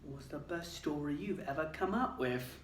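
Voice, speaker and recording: A man voicing a nervous, excitable teenage schoolboy who stammers and talks eagerly. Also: a little high, normal speed, slight background noise, pitched above the performer's own voice. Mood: eager, uneasy. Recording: roomy, distant mic.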